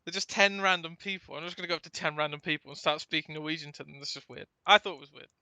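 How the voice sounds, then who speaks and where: Bright, clear young-sounding man chatting casually. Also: a little high, fairly even intonation, racing, dry recording. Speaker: podcast co-host. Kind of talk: casual podcast chat.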